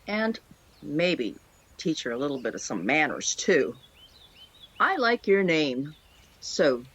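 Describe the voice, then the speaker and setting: A woman voicing a kindly adult mouse in an audio drama, welcoming, measured and reassuring. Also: fairly low pitch, heavily acted. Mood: friendly. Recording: faint outdoor ambience with birdsong.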